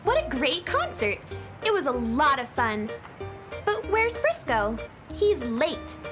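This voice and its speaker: A woman playing a cheerful young space adventurer girl in a children's radio play, expressive and wide-eyed. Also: high-pitched, slow, over music, heavily acted. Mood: cheerful, puzzled.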